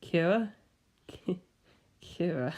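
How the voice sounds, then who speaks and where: Fastidious villainous man voiced by a woman, slightly raised pitch, lively intonation, evasive, protesting, storybook character. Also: exaggerated voice. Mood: feigned ignorance. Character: fastidious male secretary feigning innocent bewilderment, villain.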